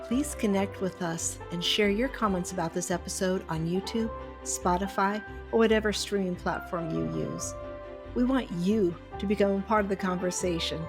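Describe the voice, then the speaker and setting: A woman hosting a podcast, affirming her guest with frequent encouraging murmurs and closing the episode. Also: medium pitch. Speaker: podcast host. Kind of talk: podcast interview and outro.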